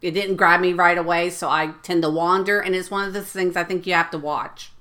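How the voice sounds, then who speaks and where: A woman's voice: on the low side, fairly even intonation, fairly quick, sharp tone. Teasing, giggly woman podcast co-host. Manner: teasing, giggly, plays friendly jokes. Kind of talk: casual chat about movies and shows.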